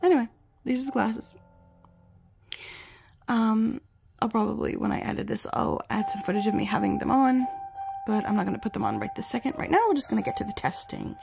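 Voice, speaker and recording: A woman vlogger sick with the flu, her voice gravelly, chatting in a rambling, self-deprecating way while reviewing glasses at home. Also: a little high, lively intonation, brisk, a little mellow, soft background music. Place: home, indoors and outdoors in yard.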